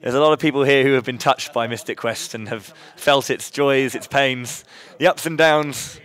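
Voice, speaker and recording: Loud, chatty man hosting a charity marathon. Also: mid-range voice, normal speed. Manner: upbeat, chatty, many fillers and restarts. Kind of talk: live event hosting.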